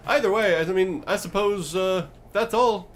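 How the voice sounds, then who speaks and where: A man voicing a rambling, awkward undead oracle creature in a tabletop game, halting and muttering asides. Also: sits high, slight background noise, voice pushed up, natural voice. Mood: awkward, resigned.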